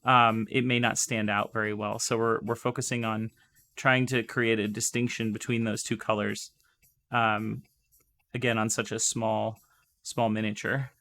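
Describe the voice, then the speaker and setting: Young male streamer, hoarse and losing his voice, upbeat, explains painting steps while chatting to viewers. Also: on the low side, little pitch movement, measured pace, pushed and bright. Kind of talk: live-stream painting tutorial.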